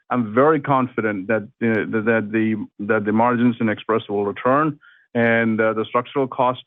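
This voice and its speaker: A man, a company executive, answering on an earnings call in a halting way, often repeating phrases. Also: medium pitch, dry recording. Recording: muffled, band-limited conference call audio.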